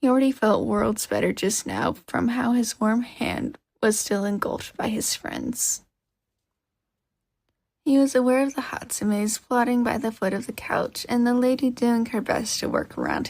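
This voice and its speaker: A woman reading scripted fiction as an expressive audiobook-style narrator. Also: a little high, gravelly, breathy edge, no echo. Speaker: podfic narrator.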